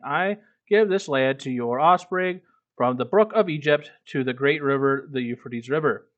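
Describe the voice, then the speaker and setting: A man's voice: mid-range voice, a bit bright. A man reading a book aloud as a streamer, breaking off into casual, irreverent, swearing commentary. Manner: irreverent, profane asides.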